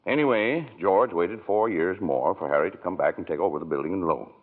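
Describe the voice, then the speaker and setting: A deep husky heavenly narrator. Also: male voice, fairly quick. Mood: telling. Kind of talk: 1940s radio acting. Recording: tinny old radio broadcast with music.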